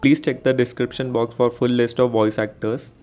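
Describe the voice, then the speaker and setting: A man's voice: medium pitch, level tone, moderate pace, darkish tone. A man reading scripted narration in a plain announcing manner. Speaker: narrator listing a game's voice cast.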